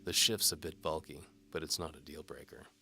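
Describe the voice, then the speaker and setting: A man playing a wry, cynical, down-on-his-luck knight for hire in an audio drama, hard-boiled and deadpan. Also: extremely low pitch, a little fast, husky, heavily acted. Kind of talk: audio drama acting, noir detective.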